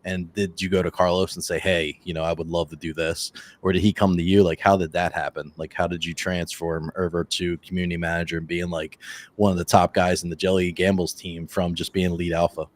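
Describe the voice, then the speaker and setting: Hoarse man hosting, very deep voice. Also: little pitch movement, a little fast. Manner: enthusiastic, talkative, praising. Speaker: podcast host. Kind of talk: casual interview.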